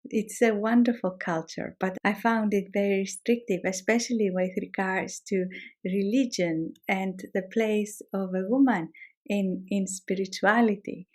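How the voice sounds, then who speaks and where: A middle-aged woman from Greece speaks with a Greek accent in a calm, measured way. She talks as a teacher sharing her own experience, often repeating words to stress them. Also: medium pitch. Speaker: shamanic teacher. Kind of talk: reflective personal talk.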